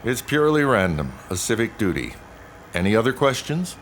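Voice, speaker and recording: A man's voice: medium pitch, very animated, brisk, a little hiss or hum, close to an everyday voice. An actor playing a formal, officious male lieutenant in a dystopian radio drama, clipped and procedural. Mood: official, detached.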